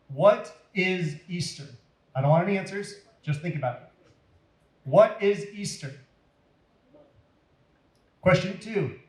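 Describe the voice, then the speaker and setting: Slow, echoey man reading to children. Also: fairly high pitch. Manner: deliberate, dramatic, addressing young listeners. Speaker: children's storyteller at a church. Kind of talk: expressive read-aloud to children. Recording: roomy echo.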